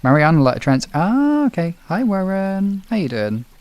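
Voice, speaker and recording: A man's voice: high-pitched, sing-song, moderate pace, a little mellow, smooth. A British man chatting casually on a livestream, replying to viewers in an informal, rambling, sweary way. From United Kingdom. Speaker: livestream host.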